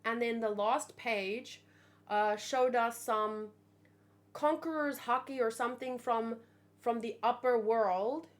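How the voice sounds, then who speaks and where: A woman hosting a manga read-along, talking in a casual, expressive, thinking-aloud way. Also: a little high, takes it slowly. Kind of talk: casual reaction commentary with read-aloud dialogue.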